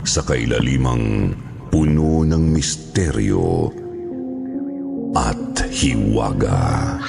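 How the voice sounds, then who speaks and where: A male horror storyteller with an extremely deep, creaky voice. He narrates slowly and solemnly in a theatrical, scripted style, over clear background music. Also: lively intonation.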